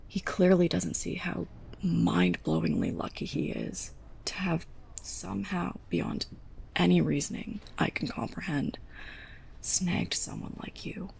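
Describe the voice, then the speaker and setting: Woman acting a creaky, hushed, lovestruck library assistant. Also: lowish voice, exaggerated voice. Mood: indignant, protective. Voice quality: soft, breathy, often near a whisper. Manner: halting, awkward, self-conscious. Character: sarcastic, lovestruck young woman librarian's assistant, prickly and flustered. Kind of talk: ASMR roleplay, intimate monologue to the listener.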